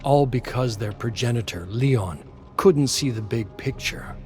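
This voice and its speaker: A man reading dramatic scripted story narration in a measured, solemn way. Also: medium pitch. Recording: music behind the voice. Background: music.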